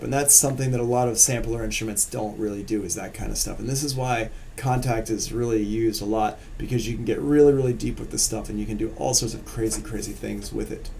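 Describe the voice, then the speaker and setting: A man with a gravelly, mellow voice explains sampling software in a loose, conversational way, talking as he clicks through screens. He speaks at a medium pitch into a desk mic. A faint hiss sits behind the voice. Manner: casual, relaxed explaining while working on screen. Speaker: music production instructor. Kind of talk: live-streamed software tutorial.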